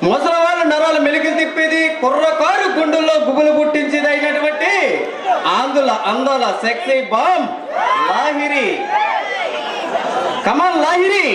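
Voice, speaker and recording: A man acting an eager henchman and village announcer in a stage play, with a showman's loud delivery. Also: extremely high pitch, moderate pace, pauses often. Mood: hyping. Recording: echoey distant stage mic, noisy crowd.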